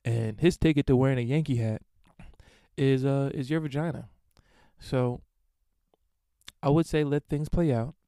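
A man talks alone into a dry, close recording, his voice smooth and warm. He rambles through listener emails with crude, irreverent jokes and lots of fillers, in an unhurried host's monologue. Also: medium pitch, no echo. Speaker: podcast host answering listener emails. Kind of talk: casual solo monologue.

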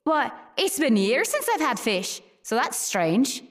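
A woman playing an earnest, erudite and gentle creature in human form in a fantasy audio drama, speaking formally and precisely. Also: sits high, very animated, unhurried. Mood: scholarly, curious. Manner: formal, precise, fussily caring.

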